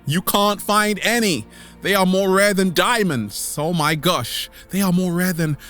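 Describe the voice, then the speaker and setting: A man's voice: high-pitched, fairly bright tone, faint music behind. A man speaking as a vlog host in a playful, theatrical motivational monologue, drawing words out for emphasis. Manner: theatrical, playful, repeating catchphrases, with drawn-out emphasis. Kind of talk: motivational monologue to online followers.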